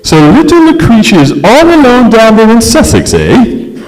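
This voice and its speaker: An actor playing a pompous, melodramatic, centuries-old aristocratic English ghost in a radio play performed on stage. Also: male voice, very high-pitched, wildly swooping pitch, normal speed, a crowd in the background, heavily acted. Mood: wistful, delighted. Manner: grandiloquent, blustering, melodramatic. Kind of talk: old-time radio drama acting on stage. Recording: reverberant hall, live stage performance.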